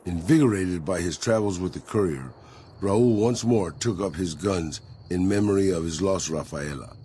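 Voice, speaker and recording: A man's voice: deep voice, normal speed, dark tone, close to an everyday voice. A man playing a subdued, somber narrator of gunfighter tales in a video game. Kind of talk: scripted game epilogue narration. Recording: faint background noise.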